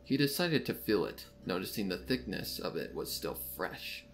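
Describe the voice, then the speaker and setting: Low-pitched, expressive male story narrator. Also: normal speed, low-quality recording. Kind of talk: dramatic scripted narration. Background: soft background music.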